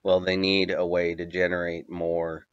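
Low, halting man with a muffled mic. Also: completely flat delivery, clear, resonant tone, no room sound. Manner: halting, many fillers, listing at length. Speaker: commentator. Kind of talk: unscripted video essay narration.

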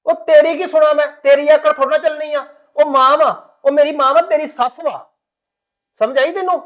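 Guy playing a lecturing young husband, high quick voice, muffled. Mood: scolding. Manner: reasoning, then lecturing sternly. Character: young husband and son, torn between mother and wife.

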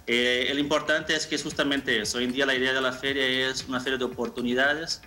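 A man in his thirties answering interview questions informally, a little haltingly and with repeated phrases, from a room over a video call. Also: mid-range voice, level tone, sharp tone, faint music behind. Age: 30s. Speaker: marketing manager, interview guest.

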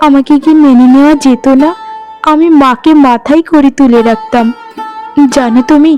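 A woman voicing a humble, devoted young village wife in a cartoon drama, earnest and soft-hearted. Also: sits high, fairly even intonation, normal speed, muffled recording, natural voice. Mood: hurt, wistful. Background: plucked-string music.

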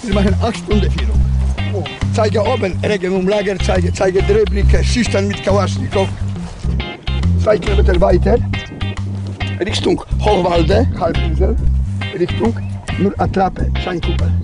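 A man's voice: sits high, fairly even intonation, unhurried, pauses often, loud music behind the voice. An older man giving an informal outdoor tour in choppy, broken, repetitive phrases. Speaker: tour guide. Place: outdoors at a bunker site.

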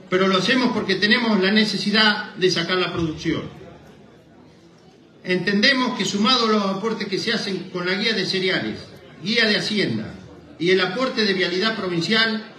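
A man's voice: high voice, pushed and bright. A middle-aged Argentine man formally reading out a prepared speech in Spanish at a microphone, stumbling now and then. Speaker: president of a rural association giving a speech. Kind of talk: formal speech read from paper. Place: indoor hall, at a lectern. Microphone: microphone on a boom stand, recorded from a distance. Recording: echoey hall PA, distant microphone.

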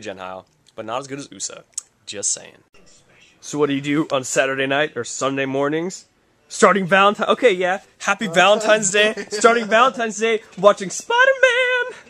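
A man's voice: high voice, animated, normal speed, sharp tone. A young man chatting casually as he films a handheld vlog tour through a basement and a snowy street at night, joking along the way. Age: young adult. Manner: chatty, jokey, enthusiastic narration. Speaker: vlogger. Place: house basement and outdoors at night. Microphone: handheld camera at arm's length.

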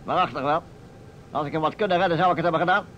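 An actor playing a pompous, blustering older small-town mayor, easily indignant, in a Dutch radio drama. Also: male voice, a little high, very fast, fairly bright tone, natural voice. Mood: aggrieved. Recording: muffled vintage radio recording with noise.

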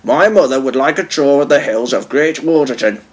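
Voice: mid-range voice, lively intonation, moderate pace, close to an everyday voice. Performer as kindly, commanding railway boss. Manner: authoritative, kindly. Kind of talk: children's storybook character voice.

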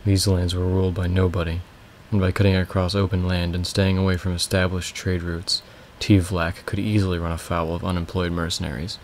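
A young man with a raspy voice, reading a fantasy novel aloud as a home audiobook from his bedroom. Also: deep voice, a little hiss or hum. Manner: slow, casual intro then steady reading. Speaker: amateur audiobook reader. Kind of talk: audiobook narration.

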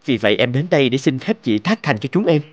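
A man's voice: mid-range voice, expressive, quick pace, dry recording, highs cut off, close to an everyday voice. Man voicing an earnest young boyfriend, dry sound. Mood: polite, hopeful. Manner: earnest, tender, polite. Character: devoted romantic young boyfriend proposing marriage. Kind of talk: animated drama dubbing.

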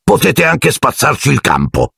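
A man's voice: medium pitch, wildly swooping pitch, a little slow, gravelly, sharp tone, slightly rough, no room sound, studio quality, pitched above the performer's own voice, heavily acted. A man voicing an arrogant teenage team captain with an offhand, scornful tone. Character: arrogant teen sports captain, cool and scornful.

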